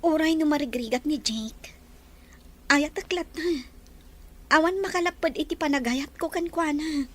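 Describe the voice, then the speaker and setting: A woman acting a very high-pitched young woman in a radio melodrama. She is in love, earnest and emotional, with a pleading delivery. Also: moderate pace, pauses often, natural voice. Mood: tender, earnest.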